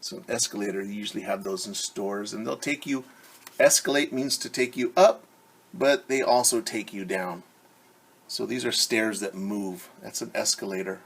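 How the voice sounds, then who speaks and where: A man teacher reading a picture book aloud to children, animated and stopping to explain words and ask questions. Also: lowish voice, normal speed, vocal fry, breathy edge. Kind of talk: children's story read-aloud.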